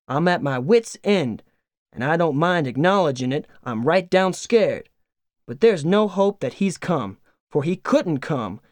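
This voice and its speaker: A man's voice: a little high, a little mellow, no room sound, clean studio sound. A man doing a worried old farmer. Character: worried, mild-mannered older farmer husband.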